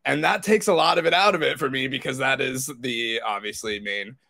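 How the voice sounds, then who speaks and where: Casual male podcast panelist, medium pitch. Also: fairly quick, creaky, bright, forceful tone. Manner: informal, swearing freely. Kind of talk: casual chat.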